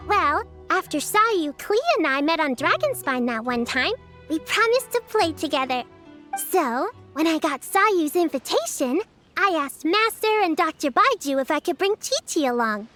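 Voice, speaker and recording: A woman voicing a polite, earnest little girl who speaks gently and properly in a video game. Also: high voice, lively intonation, normal speed, soft background music. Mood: gentle.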